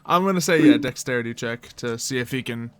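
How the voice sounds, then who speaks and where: A man's voice: mid-range voice, fairly quick, heavy background noise. A man working as game master, narrating a tabletop game in a casual, improvised way.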